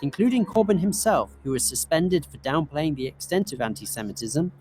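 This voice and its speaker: A man reading scripted explainer narration in a crisp, measured way. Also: fairly high pitch, lively intonation, darkish tone, faint music behind. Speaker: documentary narrator.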